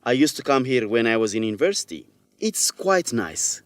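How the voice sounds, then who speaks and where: A man acting as a reticent young Ethiopian student in a radio drama. He is modest, with a mid-range voice and lively inflection in a dry studio sound. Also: medium pitch, normal speed, no room sound, natural voice. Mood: tired, friendly.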